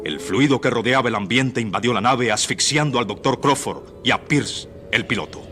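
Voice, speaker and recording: A man's voice: mid-range voice, moderate pace. A man giving scripted dramatic narration for a radio play. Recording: noisy old radio drama recording.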